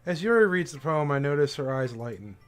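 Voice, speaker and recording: A man's voice: a little high, animated, fairly quick, smooth, soft background music. A male streamer reading a visual novel's narration aloud in a casual, amused way.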